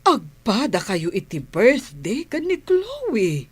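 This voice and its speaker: A woman acting an emotional, well-to-do mother in a Filipino radio drama, with a husky voice. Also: on the high side, huge pitch swings, slow pace, no echo, exaggerated voice. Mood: gentle, curious. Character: emotional wealthy mother searching for her true daughter.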